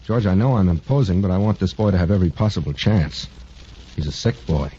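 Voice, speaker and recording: An actor playing a wry, persuasive senior hospital doctor in a 1940s radio drama. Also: male voice, deep voice, animated, fairly quick, mellow, breathy edge. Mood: insistent. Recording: dull, noisy 1940s radio recording.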